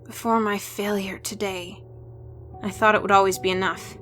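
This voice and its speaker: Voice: medium pitch, level tone, moderate pace, a bit bright, faint music behind, voice pushed up, lightly acted. A narrator voicing an earnest teenage village girl. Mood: solemn, wistful. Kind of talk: audiobook character voice.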